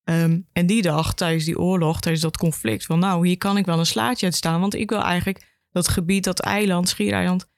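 A woman's voice: fairly low pitch, steady tone, normal speed, few pauses, dry recording. Woman the chatting through history, level intonation, informal, dry close recording. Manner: informal, retells history with imagined quotes. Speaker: education worker, interview guest. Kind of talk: conversational historical explanation.

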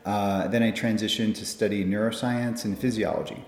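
A man from the United States, answering interview questions about his research in a fluent, measured way. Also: lowish voice, steady tone, darkish tone. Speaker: guest scientist. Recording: echoey conference room.

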